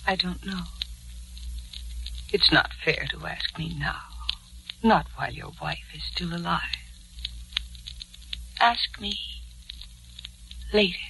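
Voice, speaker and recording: A woman's voice: fairly low pitch, slow, gravelly, long pauses. A woman playing a cool, coquettish mistress of a married man, poised and knowing, in 1940s radio drama style. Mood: cool, hesitant. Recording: noisy 1940s radio recording.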